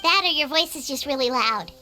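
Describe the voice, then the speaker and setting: A woman voicing a tiny, excitable floating fairy companion in a video game, squeaky, childlike and chirpy. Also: fairly quick, soft background music. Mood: inquisitive, exasperated. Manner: chirpy, exclamatory, refers to self in third person. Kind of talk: animated video game dialogue.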